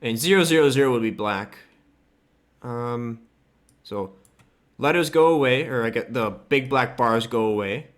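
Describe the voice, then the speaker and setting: A man's voice: mid-range voice, a little slow, long pauses. Casual man talking through a screen tutorial. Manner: informal, loose and offhand, occasional swearing. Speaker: host of a game modding tutorial. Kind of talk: casual screen-capture tutorial, thinking aloud while working.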